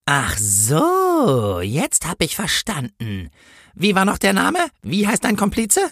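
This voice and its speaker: A man's voice: high-pitched, wildly swooping pitch, slow pace, a bit bright, dry recording, clean studio sound, pitched above the performer's own voice, full character voice. A man voicing a bullying, officious female traffic warden in a comic Christmas story, with a scolding, sneering manner. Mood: suspicious, triumphant.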